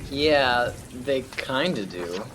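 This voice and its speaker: A man's voice: medium pitch, expressive, slow pace. Man acting puzzled young sidekick, rainy noise behind. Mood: uneasy, baffled. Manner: hesitant, casual, questioning. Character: Johnny, puzzled, uneasy lighthouse companion, sceptical and plain-spoken. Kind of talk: student radio play acting. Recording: rain and thunder noise behind. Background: rain and thunder.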